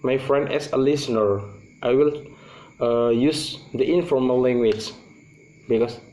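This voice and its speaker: A young Indonesian man with a clear Indonesian accent, speaking English as a second language. He talks slowly and haltingly, pausing often to find the next word. His voice sits at an average pitch for a man. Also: warm tone, low-quality recording. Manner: halting, searching for English words. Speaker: student presenter. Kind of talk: class presentation.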